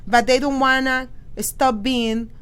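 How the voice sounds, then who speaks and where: A woman's voice: sits high, fairly even intonation, slow, fairly bright tone, clean tone. A woman from Venezuela, chatting animatedly on a radio talk show and repeating her phrases. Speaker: guest cook. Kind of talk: casual radio chat.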